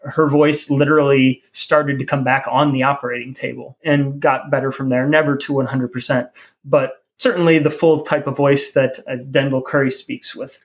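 A man co-hosting a podcast in a casual, conversational style. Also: a little high. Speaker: podcast co-host.

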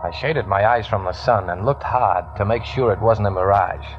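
A man's voice: lowish voice, moderate pace, over loud music, close to an everyday voice. An actor playing a cocky, wisecracking gambler who narrates his tale in hardboiled 1950s radio drama style. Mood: wry, uneasy. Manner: wry, flippant. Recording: muffled, noisy old radio recording.

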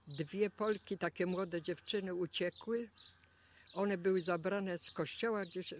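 An older woman from Poland giving an oral history interview, halting and repeating words as she searches for them. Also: lowish voice, slight background noise.

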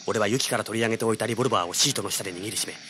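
An actor playing a brash young male treasure hunter in an audio drama, with a rough, slangy, hard-boiled delivery. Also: lowish voice, very fast, natural voice. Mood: hard-boiled storytelling. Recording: noisy old cassette audio drama.

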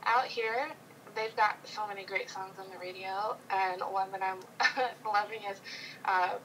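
A woman's voice: mid-range voice, fairly even intonation, measured pace, sharp tone, slight background noise, rough recording. A woman guest on a casual video call, chatting about improv in a bubbly, playful way with frequent giggles.

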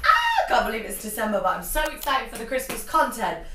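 A young woman vlogging while she dances, her voice croaky and her talk bubbly and exclamatory, slightly out of breath from moving. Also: a little high, wildly swooping pitch, fairly quick, very noisy. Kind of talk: chatty vlog while dancing. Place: cold glass conservatory at home. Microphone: far camera. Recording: echoey room from far off, music behind.

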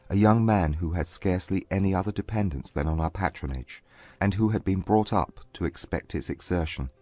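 An actor playing a proud, haughty young Regency gentleman in a dramatized audiobook, speaking in a formal, composed, measured way. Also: sits low. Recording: dry close recording with music behind. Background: music.

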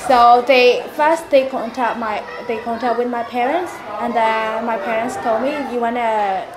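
Woman, twenties, Burmese accent, higher-pitched voice, interview, echoey recording with crowd chatter. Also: fairly even intonation, unhurried. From Burma. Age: 20s. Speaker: school teacher. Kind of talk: documentary interview in non-native English.

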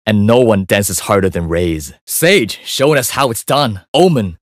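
Game role: young Chinese hitman, lowish register, cool, focused, understated; dry studio sound. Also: sing-song, unhurried, no room sound. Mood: calm, friendly. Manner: cool, understated, clipped delivery. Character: calm young Chinese male assassin, focused and politely deadly. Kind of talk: video game character voice lines.

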